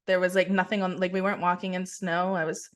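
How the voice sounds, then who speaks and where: A young woman co-hosting a casual podcast from her kitchen over a video call, talking in a relaxed, rambling way with many "like"s and restarts. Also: fairly low pitch, fast. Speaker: podcast co-host. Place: kitchen at home.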